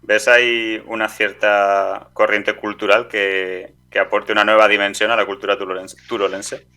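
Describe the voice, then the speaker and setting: Male radio interviewer, accent from Spain. Bright, crisp-edged tone, lowish pitch, prepared questions with some hesitation. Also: little pitch movement, normal speed. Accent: Spanish from Spain.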